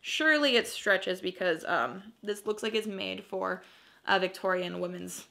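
A young American woman vlogging from her living room in a casual, chatty way. Her voice is bright and forward, with a noticeable creaky fry. She sounds upbeat and gushes over what she shows. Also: medium pitch, measured pace. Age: young adult. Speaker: vlogger, clothing reseller. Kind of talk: casual chatty haul video to camera.

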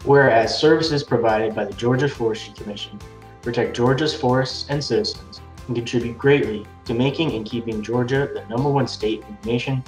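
A man's voice: mid-range voice, a little fast, soft background music. A man in his twenties formally reading a resolution aloud. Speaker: forestry employee reading a resolution. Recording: echoey, distant webcam mic.

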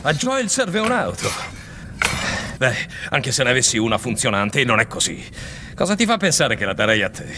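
An actor playing an irritable, sarcastic survivor in a video game dub. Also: male voice, medium pitch, very animated, moderate pace, pauses often, background noise, natural voice. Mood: sarcastic, annoyed.

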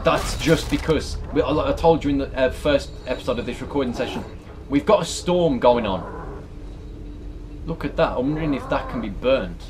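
A British man, around his thirties, giving casual, sweary, exasperated reaction commentary as he plays a horror game. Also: mid-range voice. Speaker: let's player. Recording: clear background noise and game ambience.

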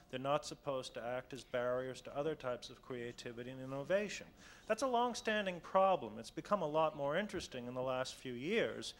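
Measured man arguing policy on a panel. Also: medium pitch, a little hiss or hum. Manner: measured, argumentative policy talk. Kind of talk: panel discussion. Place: hotel conference room. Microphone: table mic on a stand.

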